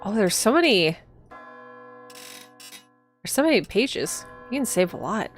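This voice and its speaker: A woman's voice: mid-range voice, very animated, measured pace, faint music behind. A woman chatting casually to viewers as she hosts a live game stream. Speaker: streamer and host.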